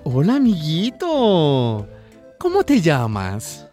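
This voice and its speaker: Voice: mid-range voice, wildly swooping pitch, warm tone. An actor playing a falsely friendly male stranger in a radio drama, coaxing with a menacing undertone. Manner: coaxing, drawn-out wheedling. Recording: music behind the voice.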